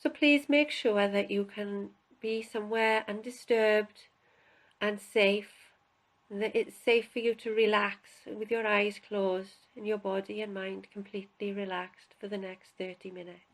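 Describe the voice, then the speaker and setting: A woman instructor guiding a relaxation session in a calm, unhurried, instructional way with pauses. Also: medium pitch, rough recording. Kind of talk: guided relaxation, spoken instruction.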